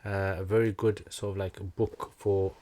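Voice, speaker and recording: A man's voice: low voice, level tone, normal speed, mellow. A man teaching and hosting a livestream, chatting casually with viewers in a jokey, rambling way with slangy asides. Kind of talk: casual livestream chat, answering viewer comments.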